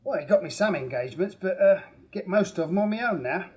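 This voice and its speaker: An actor playing a shifty young Victorian spirit medium who speaks in a hesitant, halting way. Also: male voice, sits high, lively intonation, darkish tone. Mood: defensive. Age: young adult. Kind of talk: 1980s radio drama acting. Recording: echoey stage sound from a distance.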